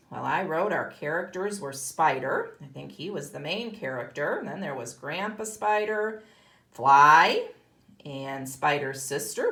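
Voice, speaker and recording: A woman's voice: deep voice, a bit bright. A woman kindergarten teacher giving a read-aloud lesson to young children, explaining in simple, emphatic phrases. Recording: echoey room.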